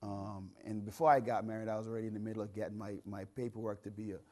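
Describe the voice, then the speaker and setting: A man from the Bahamas in his thirties speaks as a guest on a talk show. His voice sits a little low, and he tells his story in a relaxed, chatty way, restarting phrases as he searches for words. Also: very fast. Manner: chatty storyteller, repeats words while thinking. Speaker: guest, entrepreneur and author. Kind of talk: casual interview talk.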